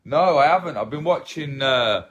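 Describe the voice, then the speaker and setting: Man, 30s, from England, soft relaxed voice, rambling livestream chat, repeats himself, phone mic at home. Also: mid-range voice, normal speed, muffled recording. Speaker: livestream host. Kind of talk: casual livestream chat reading comments. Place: small living room at home, indoors. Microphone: phone held at arm's length.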